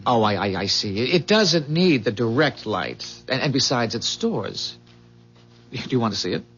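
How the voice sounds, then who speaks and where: An actor playing an earnest, idealistic inventor in a 1970s radio drama, explaining things in a precise, formal way with some hesitant stammers. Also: male voice, medium pitch, very animated, normal speed, fairly bright tone. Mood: eager, hesitant. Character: earnest, idealistic male inventor, proud of his revolutionary engine. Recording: dull, muffled 1970s radio broadcast recording.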